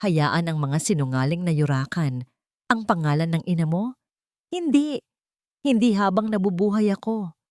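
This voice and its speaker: A woman's voice: lowish voice, lively intonation, moderate pace, pauses often, a little mellow, no room sound, close to an everyday voice. Woman voicing a stern, indignant older father. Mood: firm indignation. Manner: firm, emphatic, reproachful.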